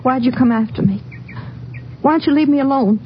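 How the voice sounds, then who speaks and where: Woman as frightened young female witness, high pitch, evasive, pleading, 1950s radio drama, dull noisy recording. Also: fairly quick, close to an everyday voice. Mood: guarded, nervous. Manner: nervous, pleading, reluctant. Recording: muffled old-time radio recording, faint noise.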